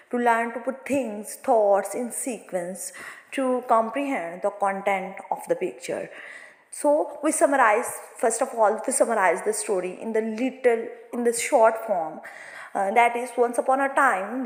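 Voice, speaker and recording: A woman teacher explaining a moral story to students in halting, non-native English phrasing. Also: fairly high pitch. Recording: echoey room with long reverb.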